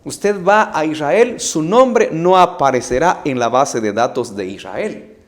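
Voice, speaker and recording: A Guatemalan evangelist preaches a Bible study, his fairly high voice rising and falling widely. He moves slowly and deliberately, hammering his points home with rhetorical challenges and repeated phrases. He sounds middle-aged. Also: expressive. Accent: Guatemalan Spanish. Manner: emphatic, challenging, with rhetorical questions and repetition.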